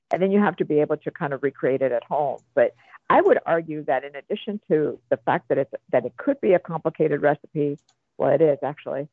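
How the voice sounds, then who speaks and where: A Cuban-born man talks over a tinny phone line, his voice gravelly and a touch high for a man. He rambles in a friendly way, full of fillers and restarts. The sound is dry and close. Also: no room sound. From Cuba. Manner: chatty, rambling with many fillers. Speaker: guest. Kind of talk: radio interview.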